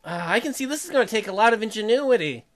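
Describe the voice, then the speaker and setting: A man plays a young newlywed woman, a colony mechanic in a video game. She is earnest and inquisitive, with a high, animated voice. Music runs underneath. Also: fast talker.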